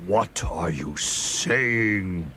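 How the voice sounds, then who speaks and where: Man playing a stern, slow-talking magic fish. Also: deep voice, expressive, bright, forceful tone, slight background noise, exaggerated voice. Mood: indignant. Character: magic male flounder, commanding, increasingly indignant talking fish. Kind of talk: dramatised folk tale for English learners.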